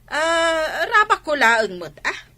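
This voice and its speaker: A woman's voice: high voice, sing-song, takes it slowly, sharp tone, no echo, exaggerated voice. A woman with an Ilocano accent playing a flustered village gossip, stammering and pleading in a comedic radio drama.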